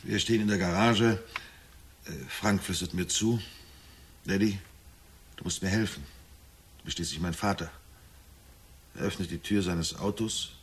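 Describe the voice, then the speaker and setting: An actor playing a gloomy middle-aged husband in a German radio drama, speaking in a measured storytelling way with dry irony. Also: male voice, low-pitched, fairly even intonation, slight background noise, lightly acted. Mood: grim, hushed recounting. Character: gloomy middle-aged husband recounting a nightmare, wryly forbearing.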